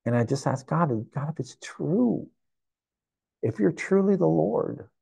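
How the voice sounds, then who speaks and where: A man's voice: fairly high pitch, animated, measured pace, vocal fry, dark tone, husky, no echo. A man in his sixties preaching a sermon to camera in a church, earnest and reflective, often repeating phrases. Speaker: pastor. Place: indoor church sanctuary.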